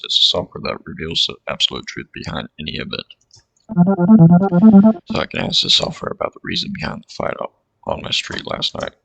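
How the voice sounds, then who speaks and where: A man reading aloud as a determined young software inventor, speaking in first person over faint game music on dull lo-fi audio. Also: on the high side, vocal fry, dry recording, voice pushed up, heavily acted. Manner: reads the story text aloud in first person. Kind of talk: reading visual novel dialogue aloud.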